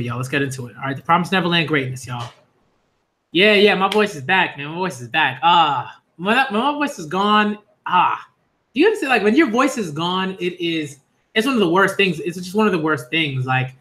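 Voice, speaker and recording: A man hosting a relaxed live manga reaction stream, his voice sitting a little high and coming out bright and forceful. He rambles loosely, leaning on fillers and "y'all." He gets excitable fast when the story grabs him. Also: a little hiss or hum. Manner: excitable, rising into shouts at story moments. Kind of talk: casual live-stream chat and reading reactions.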